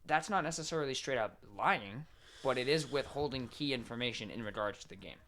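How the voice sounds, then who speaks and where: A man's voice: mid-range voice, animated, fairly quick, fairly bright tone. A man co-hosting a podcast, explaining his opinions in casual, informal banter with some swearing. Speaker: podcast co-host.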